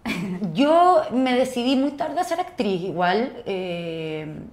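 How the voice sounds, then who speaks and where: A woman's voice: medium pitch, big pitch swings, slow, darkish tone. A woman from southern Chile with a Chilean accent, chatting casually as a podcast guest with loose, hesitant phrasing. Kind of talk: casual chat.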